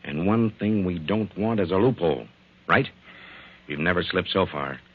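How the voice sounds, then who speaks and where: An actor playing a stern, menacing boss in a 1940s radio mystery, firm, commanding and insistent. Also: male voice, low voice, expressive, moderate pace, breathy edge. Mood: curt, businesslike. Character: stern, menacing boss pressuring a reluctant subordinate. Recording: narrow-band scratchy 1940s radio recording.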